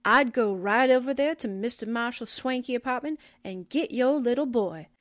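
A woman's voice: on the high side, normal speed, a bit bright, no room sound, studio quality, natural voice. A woman acting a slangy, playful newspaperwoman sidekick in 1940s radio drama style. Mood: playful, comic. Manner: folksy, playful, stumbles over big words.

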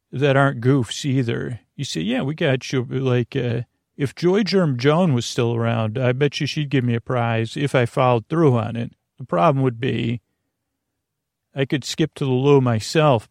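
Creaky-voiced man, rambling gently. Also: medium pitch, no room sound, studio quality. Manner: meandering, frequently trailing off and circling back; silly, goofy. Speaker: podcast host. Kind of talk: rambling bedtime podcast introduction.

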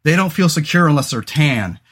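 A man in his forties talks through a casual podcast chat, his voice sitting a little high for a man. He rambles, doubles back on himself and fills gaps with "um" and "you know," dropping the odd curse along the way. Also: animated, fairly quick, fairly bright tone, breathy edge. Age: 40s. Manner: rambling, many fillers and restarts, swears casually. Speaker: podcast host.

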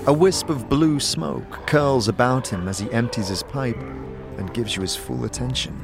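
A man serves as narrator of a fantasy audio drama. He reads scripted second-person storytelling at a middle pitch. Music is clearly audible behind him. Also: normal speed.

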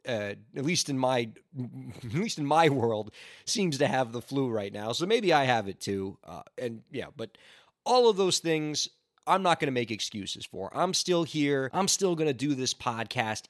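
A hoarse man with laryngitis hosts his podcast in a chatty, earnest monologue. His pitch rises and falls a lot as he talks. The sound is dry and close, with no room echo. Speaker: podcast host. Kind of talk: casual solo podcast talk.

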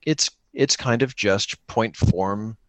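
A man's voice: lowish voice, slow pace, fairly bright tone, no echo. A man in casual conversation, speaking earnestly and encouragingly with somewhat hesitant phrasing. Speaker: podcaster and guest. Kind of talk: casual chat about gaming.

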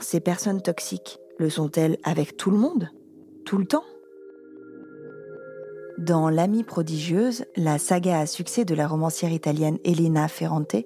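A woman hosting a podcast, reading a reflective scripted introduction in a measured way. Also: fairly low pitch, dark tone. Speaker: podcast host. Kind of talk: scripted narration.

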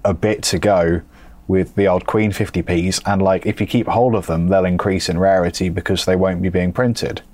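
A man with a lowish voice trading dry, sarcastic banter on a podcast. He teases his co-host in an easy, deadpan way. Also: normal speed. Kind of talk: casual chat. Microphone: remote call setup.

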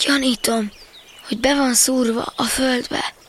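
Thoughtful little boy, high voice, earnest, children's audio play. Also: fairly even intonation, a bit bright, slight background noise. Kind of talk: children's audio drama acting.

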